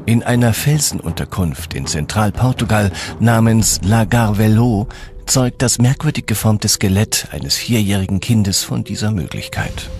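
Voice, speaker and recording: A man's voice: fairly low pitch, lively intonation, moderate pace, creaky, a little mellow. A man reading scripted documentary narration as the narrator. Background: background music.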